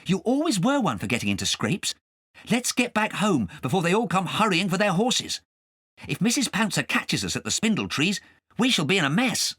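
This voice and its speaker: A plucky, earnest young boy in a storybook, as a male narrator acts him out. He is plain-spoken and a little anxious, his pitch raised and lively. The sound is dry. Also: moderate pace, slightly rough, dry recording, full character voice. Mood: urgent, bossy.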